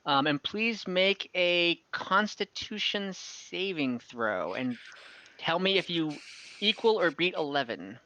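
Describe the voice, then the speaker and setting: A game master runs an online tabletop session at a slow, hesitant pace, thinking aloud while improvising the action. The voice is bright and forward. The recording sounds slightly dull. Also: mid-range voice, big pitch swings. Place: room, online voice call. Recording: muffled, echoey room.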